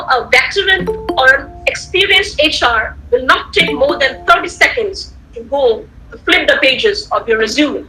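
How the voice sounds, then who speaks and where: Woman lecturer, Tamil first language, high bright voice, animated and repetitive, faint background noise. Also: poor audio. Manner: animated, repetitive, rhetorical questions to listeners. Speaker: guest lecturer. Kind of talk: online lecture to students. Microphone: computer mic on a video call.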